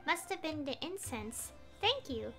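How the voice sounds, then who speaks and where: A woman's voice: sits high, very animated, a little slow, over loud music. A woman streaming a game, casually reading her character's lines aloud with fillers.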